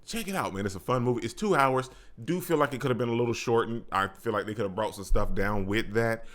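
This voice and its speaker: A man's voice: medium pitch, fast, fairly bright tone. A man chatting casually as a movie reviewer on his own channel, in a colloquial, slangy and enthusiastic way. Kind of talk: casual video review chat.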